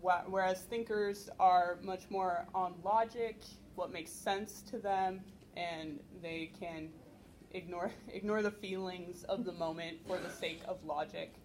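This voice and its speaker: A young woman explaining personality theory at a convention panel without a microphone, often pausing on "um". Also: fairly low pitch, fairly even intonation, a little mellow, a little hiss or hum. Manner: explanatory, filler-heavy with frequent ums. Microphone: no microphone, recorded from the room.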